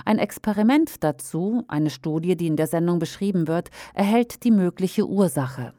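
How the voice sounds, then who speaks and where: A woman reading aloud a critical opinion commentary in a pointed, measured way. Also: fairly low pitch, animated, dark tone, no room sound, studio quality.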